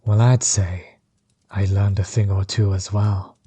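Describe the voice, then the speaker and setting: A man playing a menacing, bitter sorcerer overlord in an audio roleplay, breathy and husky, measured and condescending, often sinking toward a whisper. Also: fairly low pitch, level tone, warm tone, a little hiss or hum, lightly acted. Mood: amused, taunting. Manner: measured, condescending, coldly taunting. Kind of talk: scripted villain monologue, audio roleplay.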